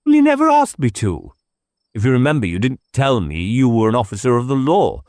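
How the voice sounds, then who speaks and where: A man's voice: medium pitch, wildly swooping pitch, a little fast, darkish tone, no room sound, clean studio sound, voice pushed up. A man voicing a whimsical, evasive village clergyman with a coy, wordy and mannered way of talking, in an audiobook. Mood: peevish.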